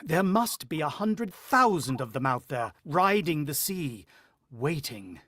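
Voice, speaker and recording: A man's voice: a little high, sing-song, slow, a bit bright, dry recording, studio quality, exaggerated voice. An actor playing a worried, watchful country father who also narrates, in the style of a vintage radio drama. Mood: grave, brooding.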